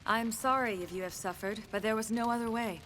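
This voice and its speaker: A woman playing a grave, serene alien mystic in a video game, speaking in a measured, formal way. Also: on the high side, fairly even intonation, a bit bright, a little hiss or hum, natural voice. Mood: remorseful, sincere. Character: solemn, serene female alien mystic, remorseful.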